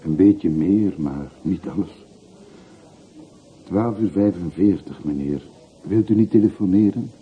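Radio play role: hired porter, low-pitched, measured, deferential, quietly probing, on a thin phone-like vintage recording. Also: male voice. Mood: patient, probing. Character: patient, plain-spoken hired porter, a quietly probing male listener. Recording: muffled phone-like old radio recording, hissy.

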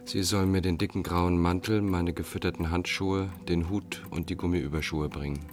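A man's voice: deep voice, steady tone, moderate pace, faint music behind, lightly acted. An actor playing an orderly bourgeois father in a radio drama, formal and matter-of-fact. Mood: formal, composed. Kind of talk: German radio drama acting.

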